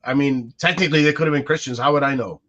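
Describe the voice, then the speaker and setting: A man's voice: medium pitch, very fast, fairly bright tone. A man in his forties whose first language is Arabic, musing aloud in a casual podcast conversation with frequent restarts. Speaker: co-host. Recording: dull lo-fi sound.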